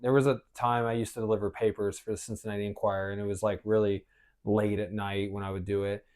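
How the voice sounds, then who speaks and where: Lowish-voiced American man chatting casually. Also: level tone, fast, darkish tone. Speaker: podcast host. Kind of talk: casual chat, personal storytelling.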